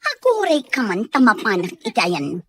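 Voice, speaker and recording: A woman's voice: on the high side, wildly swooping pitch, normal speed, slight background noise. A woman playing a suspicious, confrontational office worker in an Ilocano radio drama, with a Filipino accent. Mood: suspicious, threatening.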